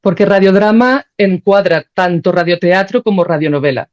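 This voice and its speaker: A woman with a fairly low-fidelity, dulled recording talks as an interview guest. She explains her thinking conversationally, working it out as she goes and often checking that she is being followed. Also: normal speed, darkish tone, dull audio. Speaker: podcast guest, sound creator.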